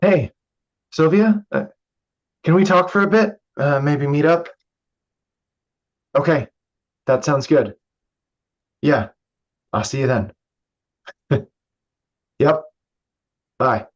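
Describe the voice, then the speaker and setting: A man's voice: on the high side, slow pace, pauses often, dull audio. A man playing a nervous, evasive young man hiding his drinking, stammering defensively in a staged play reading. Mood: awkward, apologetic.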